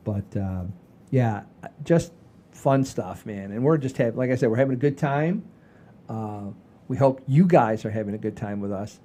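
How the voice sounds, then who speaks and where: An older man hosting a casual podcast, rambling in a folksy, halting way with repeats and the odd mild swear. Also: mid-range voice, expressive, dark tone. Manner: folksy, mildly profane, halting and repetitive. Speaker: podcast host.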